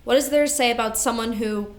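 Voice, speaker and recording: An echoey room carries the fairly high voice of a woman acting as a devoted older sister in a stage drama. She speaks earnestly, weighed down by grief and duty. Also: fast talker, background noise, close to an everyday voice. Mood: grieving. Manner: earnest, emotional delivery. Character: devoted, grieving older sister carrying guilt and responsibility. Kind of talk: staged play reading.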